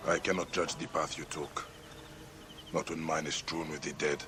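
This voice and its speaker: An actor playing a grave, driven warrior investigator of ancient Egypt in a video game, terse and solemn. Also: male voice, extremely low pitch, flat delivery, normal speed, background noise. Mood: grave, probing. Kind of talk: dramatic video game cutscene acting.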